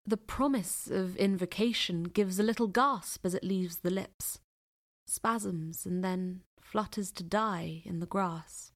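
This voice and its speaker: A woman narrating an audio play in a deliberate, poetic storytelling manner. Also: medium pitch.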